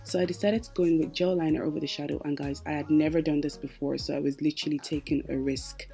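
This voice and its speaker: Low-pitched, mellow woman narrating a tutorial. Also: steady tone, quick pace, gravelly. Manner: chatty, explanatory, addresses viewers directly. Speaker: makeup tutorial host. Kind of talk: casual tutorial voice-over. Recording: music playing behind the voice. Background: background music.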